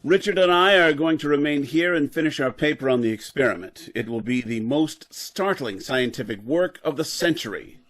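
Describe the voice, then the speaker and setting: A man's voice: on the high side, lively intonation, moderate pace, hardly any pauses, fairly bright tone. Grave, driven scientist professor, played by a man. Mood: calm, assured. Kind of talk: old-time radio drama acting.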